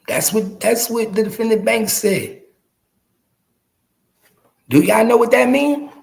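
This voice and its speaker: High-pitched, creaky man in his forties. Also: animated, slow pace. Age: early 40s. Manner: emphatic, repeating phrases for effect; slangy, profane. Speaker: podcast host. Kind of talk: casual street commentary on rap news, addressing viewers.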